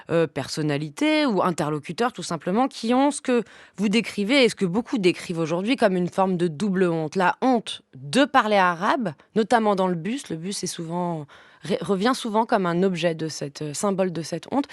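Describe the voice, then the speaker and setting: A woman hosts a radio talk, framing questions in an articulate, lively way with a few stumbles. Her voice sits a little low, recorded close and dry in a studio. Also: no echo. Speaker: radio host. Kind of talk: radio interview hosting.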